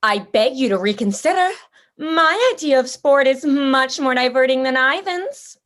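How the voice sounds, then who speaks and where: Woman playing a high-voiced, pompous huntress general. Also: expressive, normal speed, a bit bright. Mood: eager menace. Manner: pompous, theatrically polite, toying. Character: suave, genteel, predatory female general who hunts people. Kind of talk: radio play acting.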